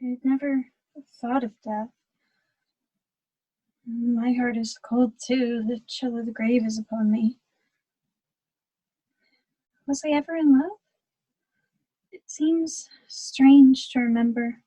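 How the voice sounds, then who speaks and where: A woman's voice: fairly high pitch, steady tone, takes it slowly, pauses often, mellow, slightly rough, dry recording, low-quality recording. A young woman acting a timid, torn young woman in love in a stage melodrama, hesitant and earnest. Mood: hushed, bleak. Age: young adult. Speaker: student actor. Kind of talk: stage acting in a one-act period melodrama.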